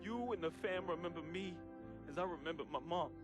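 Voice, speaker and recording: An actor playing a remorseful, devoted young man who confesses and says farewell in a dramatic video game monologue, with slangy phrasing and deliberate pauses. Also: high-pitched, close to an everyday voice. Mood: emotional, solemn. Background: music.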